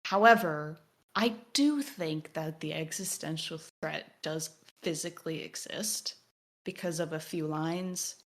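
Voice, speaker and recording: A woman's voice: sits low, unhurried. A woman co-hosting a podcast, talking through a video game in an analytical, wordy way as she thinks aloud. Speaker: podcast co-host. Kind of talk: discussion of a video game, partly reading text aloud.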